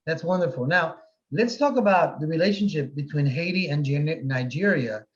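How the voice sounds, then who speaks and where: A man from Morocco hosting a casual interview, talking quickly and repeating words as he searches for them. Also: fairly high pitch, animated. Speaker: host. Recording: dull lo-fi sound.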